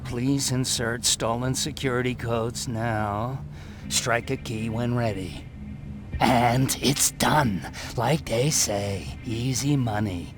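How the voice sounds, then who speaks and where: A confident male tech expert from a video game resistance, explains his work in a medium-pitched voice. Faint music sits behind his speech. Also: takes it slowly. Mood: assured. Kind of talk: acted video game dialogue.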